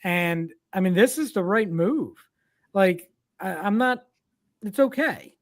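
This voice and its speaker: A man's voice: high voice, expressive, slow pace. A man hosting a casual sports podcast, leading the chat and passing questions to his co-hosts. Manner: leads discussion, tosses questions to co-hosts. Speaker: podcast host. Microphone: remote streaming mic.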